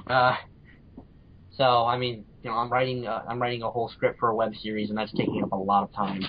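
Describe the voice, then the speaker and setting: A man's voice: mid-range voice, flat delivery, brisk. A man speaking as a guest in a casual podcast chat. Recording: dull, lo-fi podcast audio.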